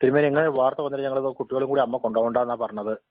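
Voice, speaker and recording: Fast-talking man on tinny phone. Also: mid-range voice, fairly even intonation, dry recording. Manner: polite, deferential, insistent. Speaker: temple head priest, complainant caller. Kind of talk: formal phone complaint. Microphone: telephone call. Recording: tinny narrow phone line.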